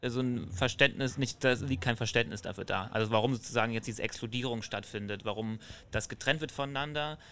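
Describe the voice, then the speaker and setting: Male host, quick and halting, full of fillers, mid pitch. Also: bright, forceful tone, a little hiss or hum. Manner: hesitant, many fillers and restarts. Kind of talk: casual discussion, asking questions.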